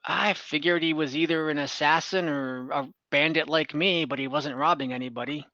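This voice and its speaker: A man's voice: on the high side, normal speed, sharp tone, dry recording, muffled recording. A man playing a captive female bandit who is blunt, pragmatic and offhand, with wry irritation, in a tabletop game. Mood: matter-of-fact. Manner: matter-of-fact, offhand.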